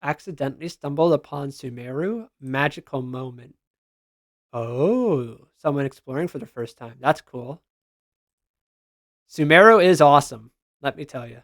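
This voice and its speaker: Man, slightly high voice, casual gaming commentary, slangy and informal, very dry recording. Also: lively intonation, unhurried, no echo. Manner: informal, slangy, reacting aloud to posts. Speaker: gaming content creator.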